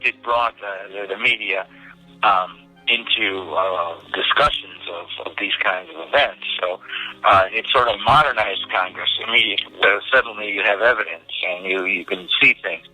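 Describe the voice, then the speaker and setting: A man speaking off the cuff as an interviewee, halting and repeating his words. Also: lowish voice, bright, forceful tone, faint music behind, rough recording. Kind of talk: unscripted interview talk.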